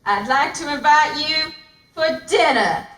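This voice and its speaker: A woman's voice: high-pitched, lively intonation, measured pace, fairly bright tone. A woman voicing a hungry, scheming, bumbling male lion in a children's puppet show, stammering and wheedling, recorded in an echoey room. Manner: stammering slips, growly exasperation. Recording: roomy, distant mic, noisy.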